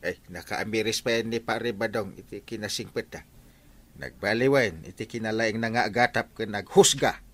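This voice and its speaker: An actor playing an excitable, gossipy village man in a radio comedy drama, with an Ilocano accent. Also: on the low side, normal speed.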